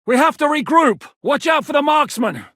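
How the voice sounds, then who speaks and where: An actor playing a battle-hardened warlord commander who gives blunt, commanding orders and swears freely in the fight, recorded in a dry studio. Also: male voice, very high-pitched, very animated, normal speed, sharp tone, dry recording, heavily acted. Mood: battle-roaring. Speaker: military commander character. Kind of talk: video game voice lines.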